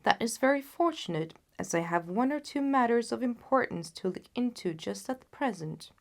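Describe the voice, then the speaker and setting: A woman voicing a cool, unruffled gentleman detective in a storybook, with a light, nonchalant, questioning manner. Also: a little high, lively intonation, normal speed, vocal fry, pitched above the performer's own voice. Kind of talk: dramatised audiobook reading.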